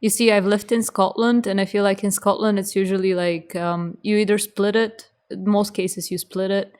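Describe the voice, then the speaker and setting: A woman's voice: medium pitch, normal speed, smooth. Woman chatting on a podcast. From Bulgaria. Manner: relaxed, chatty, with soft agreeing murmurs. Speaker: podcast co-host. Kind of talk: casual chat.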